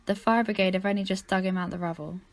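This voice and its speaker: A woman's voice: medium pitch, steady tone, quick pace, no room sound, lightly acted. A woman acts a soothing paramedic in a radio drama. She is polite and matter-of-fact, with a mid-range voice recorded very dry. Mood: calm, reassuring. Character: soothing female paramedic at an accident. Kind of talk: amateur radio play acting.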